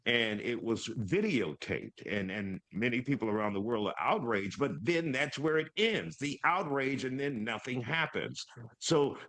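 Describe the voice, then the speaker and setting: A man's voice: mid-range voice, a bit bright. A man hosting a television news interview, speaking emphatically as he puts questions to his guest. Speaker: TV news show host.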